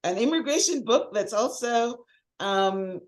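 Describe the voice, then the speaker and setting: A woman's voice: fairly high pitch, animated, a little slow. A woman hosting a podcast interview in unscripted conversation, agreeable and affirming toward her guest. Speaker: podcast host.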